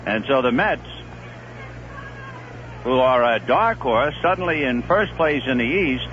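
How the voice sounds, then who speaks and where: Excited baseball announcer on old radio. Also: male voice. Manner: calls plays with rising excitement, adds analysis between pitches. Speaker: play-by-play announcer. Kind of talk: live baseball radio commentary. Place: stadium broadcast booth. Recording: dull, noisy 1970s AM radio broadcast.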